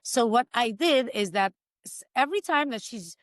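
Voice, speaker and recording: A woman's voice: a little high, animated, moderate pace, dry recording. Armenian-accented woman, earnest, acts out lines while she explains, dry close sound. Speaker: author. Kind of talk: panel discussion.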